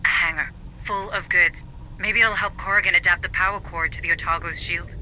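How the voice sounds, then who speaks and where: A man's voice: high-pitched, steady tone, brisk, sharp tone, low-quality recording. A man playing a serious tech officer in a video game, briefing marines in a matter-of-fact way. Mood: focused, helpful. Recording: faint background noise.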